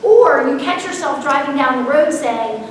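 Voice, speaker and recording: High-voiced woman seminar speaker, heard from far off in a big echoey hall, loud and unmiked. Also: normal speed, a little hiss or hum. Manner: loud, energetic, unmiked voice projecting to a room. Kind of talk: live seminar talk to parents. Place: school auditorium or large hall. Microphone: camera far off, speaker not miked.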